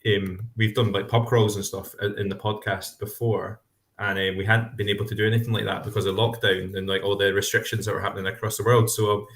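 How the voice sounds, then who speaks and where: A man's voice: on the low side, flat delivery, brisk, fairly bright tone. A man co-hosting a podcast in casual chat over a video call, rambling through restarts and asides. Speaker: podcast co-host. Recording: echoey room.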